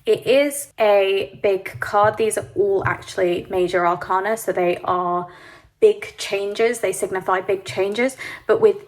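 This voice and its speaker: A woman giving a pick-a-card tarot reading, sniffly from hay fever, speaking gently and reassuringly to the viewer. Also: medium pitch, fairly even intonation, a little slow, low-quality recording. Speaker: tarot reader.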